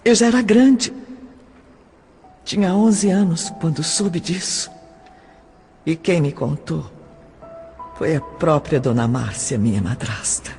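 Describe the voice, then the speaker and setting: A woman's voice: low voice, takes it slowly, pauses often, warm tone, soft background music. A woman with a Brazilian accent playing a sad young adopted woman in an audio drama monologue. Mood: sorrowful, reflective. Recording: long hall reverb.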